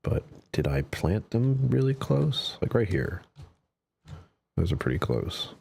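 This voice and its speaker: Man, very deep voice, slightly strained, casual game stream chatter, faint background hum. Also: sing-song, slow, creaky, a little mellow. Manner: chatty, self-talking, trails off into whispers. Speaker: live streamer. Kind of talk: casual gameplay commentary.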